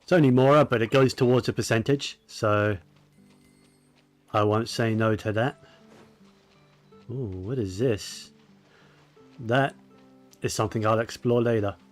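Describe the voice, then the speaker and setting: A man giving casual gameplay commentary, musing to himself in a low-key way. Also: steady tone, measured pace, long pauses. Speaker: gaming streamer. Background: faint game music.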